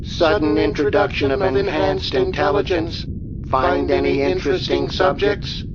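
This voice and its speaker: Slow, self-assured Venusian scientist played by a man. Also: a little high, lo-fi sound, over a noisy crowd, natural voice. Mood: smooth, assured. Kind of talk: radio drama acting.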